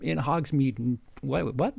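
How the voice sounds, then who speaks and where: A man co-hosting a comedy reading, chatting and joking casually, often halting as he searches for words. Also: medium pitch, vocal fry, a little mellow, breathy edge, no room sound. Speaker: co-host reading the male parts. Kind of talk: casual chat and joking commentary.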